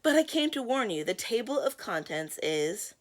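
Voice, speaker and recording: A woman's voice: on the high side, very animated, normal speed, voice pushed up. A woman playing a flustered male storybook narrator who butts in with urgent, insistent cries. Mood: flustered urgency.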